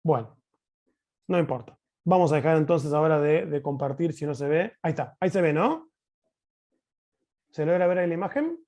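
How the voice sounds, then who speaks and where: An Argentine man speaks as a teacher giving a talk on a video call. His voice is high for a man and mellow, with very lively, swooping intonation. He explains expressively, stressing points and checking in with questions. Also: moderate pace, long pauses. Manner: expressive, emphatic, uses rhetorical questions. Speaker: teacher presenting at a conference.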